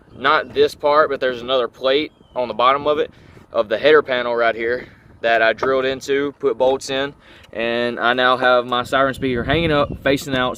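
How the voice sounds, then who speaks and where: A man giving a casual, unscripted show-and-tell vlog, informal and thinking out loud as he goes. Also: medium pitch, a little hiss or hum. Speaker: car vlogger.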